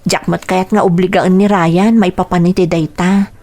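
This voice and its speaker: A woman's voice: on the low side, level tone, normal speed, mellow, lightly acted. A woman with an Ilocano accent playing a devoted, hopeful grown daughter in a radio drama. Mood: earnest, hopeful.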